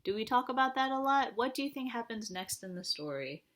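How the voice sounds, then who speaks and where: A woman reads a picture book aloud to small children. Her voice sits a little high, and her delivery is animated. She speaks directly to her young audience and often puts questions to them. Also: a little fast, a little hiss or hum. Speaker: librarian reading to children.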